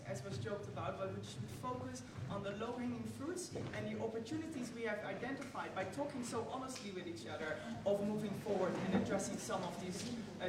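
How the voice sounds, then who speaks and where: Upbeat woman addressing a room from afar. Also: mid-range voice. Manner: upbeat, loud and sociable. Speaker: country representative of an international institute. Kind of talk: speech to a meeting audience. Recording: roomy, distant, noisy hall recording.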